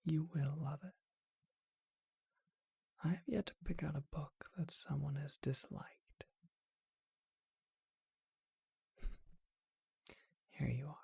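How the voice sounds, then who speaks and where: A performer voicing a charming, courteous, bookish vampire shopkeeper in a breathy, husky whisper, with genteel and hesitant phrasing, for an ASMR roleplay. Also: medium pitch, warm tone, no room sound, full character voice. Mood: pleased, gracious. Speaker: bookshop owner.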